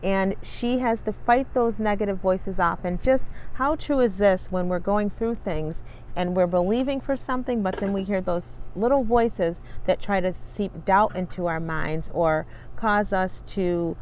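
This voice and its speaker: A woman's voice: mid-range voice, unhurried, a little mellow, a little hiss or hum, rough recording. A woman hosting a children's read-along, speaking in an encouraging, emphatic way as she introduces and reads a picture book. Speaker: read-along host and coach, reading with her daughter.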